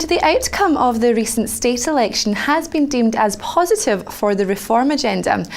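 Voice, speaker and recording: A woman presenter reading scripted questions in a financial news interview. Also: on the high side.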